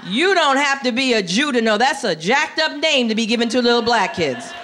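A middle-aged American woman doing stand-up into a handheld mic on a club stage, sassy, animated and full of slang. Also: a little high, a little fast, noisy background. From Paterson, New Jersey, United States. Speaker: stand-up comedian. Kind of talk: stand-up comedy. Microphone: handheld stage microphone.

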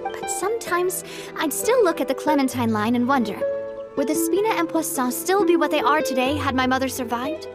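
Game heroine: high-pitched young female boss, warm, determined, wistful but bright, over music. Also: expressive, brisk. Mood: wistful, reflective. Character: young woman leader, warm, resolute, wistful yet upbeat. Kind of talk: video game character acting.

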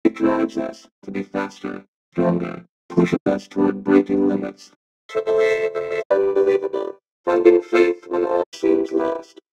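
A man's voice: measured pace, mellow, highs cut off, faint music behind. A man reading a dramatic motivational voice-over through a robotic synth vocoder. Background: synthesizer tones.

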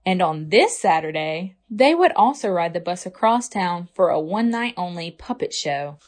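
A woman reading a children's picture book aloud as a library storyteller, with expressive, deliberate phrasing. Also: lowish voice.

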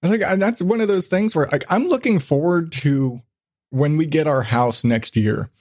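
A man's voice: on the high side, lively intonation, moderate pace, darkish tone, no room sound, clean studio sound. A man hosting a casual podcast chat, rambling along and swearing freely. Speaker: podcast host.

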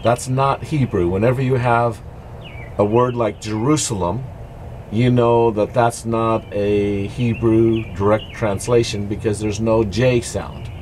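Middle-aged man, informal devotional vlogger, unhurried and halting, mid-pitched voice, faint background noise. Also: fairly even intonation, a little mellow. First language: English. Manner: halting, explanatory. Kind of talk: informal devotional talk to camera. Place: dark greenhouse. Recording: noisy amateur recording with background ambience.

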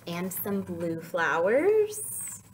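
Young woman, children's storyteller, very lively intonation, unhurried pace, sing-song delivery, prompting little listeners. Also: lowish voice, noisy background. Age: young adult. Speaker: children's library story time host.

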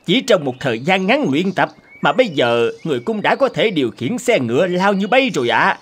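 A man's voice: high-pitched, big pitch swings, a little fast, husky, heavily acted. An actor playing a deferential royal coachman in a fairy-tale cartoon, respectful, hesitant and anxious to please.

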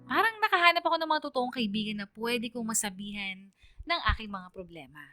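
A woman's voice: a little high, lively intonation, brisk, pushed and bright. A woman playing a shy young Filipino woman who narrates her own story for radio, confiding and reflective. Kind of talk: scripted first-person radio narration. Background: music under the voice.

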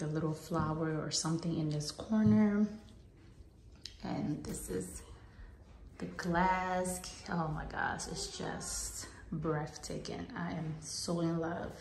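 A woman vlogger giving a casual home tour in a gushing manner with drawn-out emphasis, recorded on a handheld phone in a bathroom. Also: on the low side, darkish tone, slight background noise. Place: small tiled bathroom indoors, being remodelled. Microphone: handheld phone behind the camera. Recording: echoey bare bathroom.

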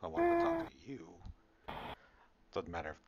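A man in casual improvised fantasy roleplay, playing a caring, easygoing friend and talking in halting phrases. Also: medium pitch, huge pitch swings, highs cut off, very noisy. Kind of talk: improvised virtual-reality fantasy roleplay chat.

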